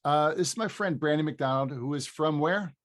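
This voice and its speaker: A man's voice: fairly high pitch, normal speed. A man in his fifties hosting a podcast, chatting casually and often restarting his sentences. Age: 50s. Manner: rambling, restarts phrases, laughs easily. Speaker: podcast host. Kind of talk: casual interview chat.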